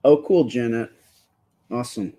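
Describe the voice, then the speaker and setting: A man with a quiet, soft voice chatting casually with viewers during a live stream while he draws. He rambles and stops to restart his sentences, speaking close to the microphone. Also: medium pitch, husky. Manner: rambling, halting, with many fillers and restarts.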